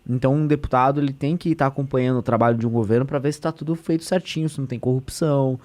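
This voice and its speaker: A man's voice: medium pitch, steady tone, racing, darkish tone. A man, a state deputy talking as a podcast guest, explaining in an earnest, conversational way with many fillers and restarts.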